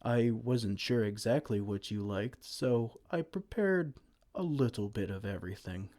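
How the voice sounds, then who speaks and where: A man voicing a kind, gentle male giant who cares for a tiny human, speaking softly and soothingly in an ASMR roleplay. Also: fairly low pitch, animated, a little slow. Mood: tender. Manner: soft-spoken, soothing, tender pet names.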